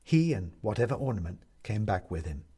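A man reads a storybook chapter aloud in a husky voice, wry and expressive, his pitch rising and falling freely. The delivery is measured and clearly scripted. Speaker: audiobook narrator.

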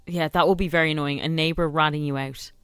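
A woman's voice: low voice, steady tone, quick pace, smooth, dry recording, clean studio sound. An Irish woman co-hosting a radio show, chatting in an easygoing way. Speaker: radio co-host. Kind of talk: casual radio chat. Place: radio studio.

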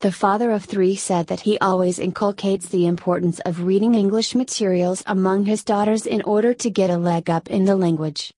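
A woman reading a news feature aloud in an even, steady delivery. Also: mid-range voice, fairly quick, hardly any pauses, no room sound. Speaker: news reader.

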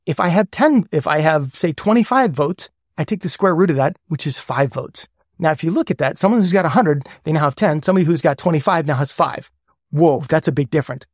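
A man being interviewed as a guest who explains ideas step by step with worked examples. He often checks in with a quick "right?". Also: a little high, darkish tone, no echo, studio quality. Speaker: guest, governance lead.

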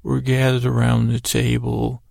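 A man with a creaky, gravelly voice hosting a bedtime podcast, telling a meandering monologue with halting, stammered, repeated words. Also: mid-range voice, completely flat delivery, a little mellow, no room sound. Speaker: podcast host. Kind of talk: rambling bedtime sleep-story monologue.

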